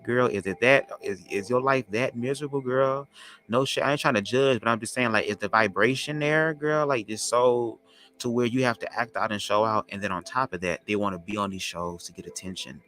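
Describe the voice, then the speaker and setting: A man with a low, raspy voice, hosting a podcast as a loose, slangy spiritual monologue. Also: bright, forceful tone. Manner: loose, slangy, drawn-out talk. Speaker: podcast host.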